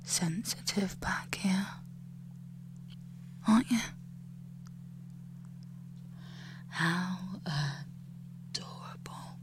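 A young woman playing a domineering, cruel bully in an audio roleplay, taunting and crude, swinging between shouts and close whispers. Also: high-pitched, big pitch swings, measured pace, noisy background. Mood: menacing whisper.

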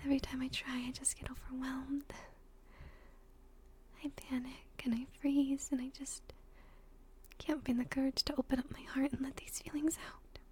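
A woman playing a tender, emotional young girlfriend in an intimate roleplay audio drama, speaking softly and breathily, often in whispers, with affection. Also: sits high, flat delivery, moderate pace, pauses often, a little hiss or hum, close to an everyday voice. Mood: hesitant, tender confession.

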